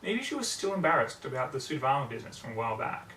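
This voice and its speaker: A man's voice: mid-range voice, big pitch swings, rapid-fire, pushed and bright. A man reading scripted fiction aloud as an amateur audiobook narrator. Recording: roomy, distant mic, faint background noise.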